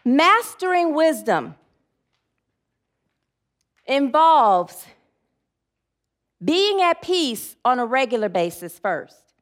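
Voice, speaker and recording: A woman's voice: sits high, big pitch swings, very slow, long pauses. A woman preaching a sermon, rambling a little and leading call-and-response affirmations.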